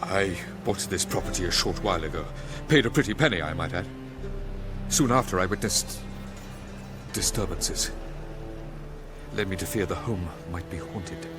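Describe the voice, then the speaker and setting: Man playing a pompous low-voiced banker. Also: moderate pace, over music, close to an everyday voice. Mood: fussy, uneasy. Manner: pompous, mannered, self-important. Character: pompous, fussy, snobbish rich male banker. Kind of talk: video game voice acting.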